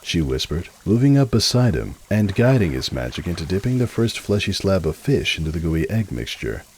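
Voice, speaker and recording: A man's voice: low voice, big pitch swings, fairly quick, a little mellow, slight background noise, poor audio. A man giving a dramatic storybook narration.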